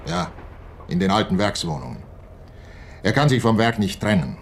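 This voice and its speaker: A man acting in a radio play as a defensive, self-important head of company security who explains himself deliberately. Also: lowish voice, sing-song, gravelly, slight background noise. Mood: grudging.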